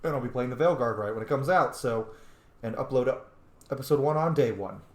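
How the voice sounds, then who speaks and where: A man doing casual, excitable gameplay commentary, informal and quick to swear. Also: mid-range voice. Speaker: let's play streamer. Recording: roomy echo.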